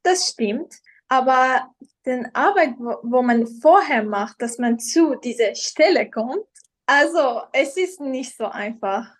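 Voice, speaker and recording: Young woman, high voice. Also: lively intonation, slow. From Iran. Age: young adult. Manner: hesitant, searching for words in learned German. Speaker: guest, professional model. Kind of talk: casual interview.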